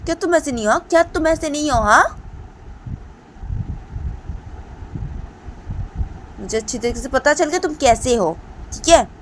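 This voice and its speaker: A woman voicing a furious young woman who angrily scolds a repeated caller in a shrill, shouting voice. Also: animated, fast talker, slight background noise, low-quality recording.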